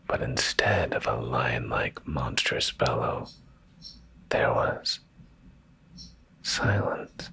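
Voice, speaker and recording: Very deep, gravelly man whispering a bedtime story. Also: very animated. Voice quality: breathy, gravelly low whisper. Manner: slow, hushed, deliberate delivery with pauses. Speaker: ASMR streamer reading bedtime stories. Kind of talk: ASMR bedtime story narration.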